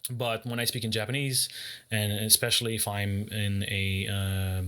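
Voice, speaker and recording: Man with a booming, bright voice. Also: little pitch movement, clear, resonant tone. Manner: thoughtful, explains with many pauses and self-corrections. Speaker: martial arts vlogger. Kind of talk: casual explanatory talk to camera.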